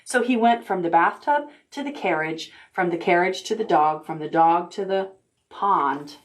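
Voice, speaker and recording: A woman's voice: on the low side, heavy background noise. A woman librarian reading picture books aloud to preschoolers, stopping to ask the children questions. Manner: gentle, deliberate storytime delivery.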